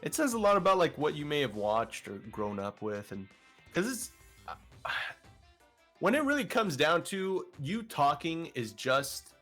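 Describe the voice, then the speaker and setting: A man with a deeper voice, guesting on an interview and talking in a casual, rambling way with many restarts. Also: very animated, a little slow, bright, forceful tone, soft background music. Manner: rambling, filler-heavy, frequent restarts. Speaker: vtuber guest.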